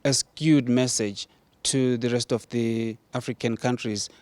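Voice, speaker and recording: A man's voice: mid-range voice, fairly even intonation, unhurried, a little mellow, no echo. A man from Zimbabwe, an activist guest on a studio debate, answering with many hesitations and restarts.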